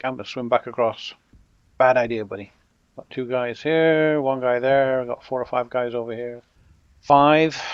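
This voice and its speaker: Gameplay host, middle-aged guy with a muddled British-American accent, dry and joking, mid-pitched, dull lo-fi sound. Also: no echo. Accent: mixed British and American. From Britain. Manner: relaxed, wry, talks to his troops jokingly. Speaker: gameplay commentator. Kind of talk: casual strategy game commentary. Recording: dull lo-fi audio.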